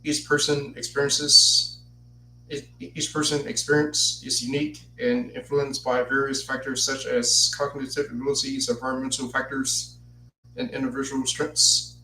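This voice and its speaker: A man's voice: mid-range voice, little pitch movement, normal speed, sharp tone, recorded from a distance. A man in his twenties hosting a solo podcast, who stutters and repeats words as he partly reads from a script. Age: 20s. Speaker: podcast host. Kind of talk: solo podcast talk, partly read aloud. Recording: echoey room, voice off-mic, faint noise.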